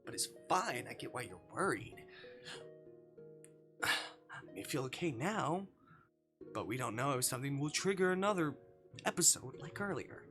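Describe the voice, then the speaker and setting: A man's voice: mid-range voice, very animated, normal speed, over music, lightly acted. A man voicing a wary but caring visual novel protagonist who talks in a casual, hesitant, frank way. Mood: frank reassurance.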